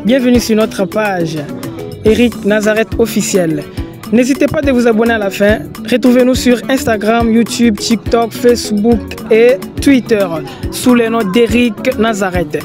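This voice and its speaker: A man hosting an online interview show, greeting his followers warmly and introducing guests. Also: extremely high pitch, a little slow, over music. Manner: friendly, promotional greeting to followers. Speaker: host.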